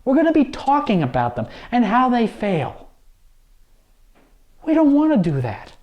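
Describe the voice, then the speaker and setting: A man speaks off the cuff as a show co-host, earnest and emphatic. His pitch moves widely up and down as he makes his case. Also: wildly swooping pitch, unhurried, highs cut off. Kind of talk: unscripted opinion commentary.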